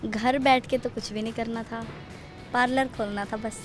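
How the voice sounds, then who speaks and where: Young woman, fairly high voice, shy, brief answers, faint music. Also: fairly even intonation, moderate pace. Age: young adult. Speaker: student. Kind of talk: casual interview answers. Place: college campus.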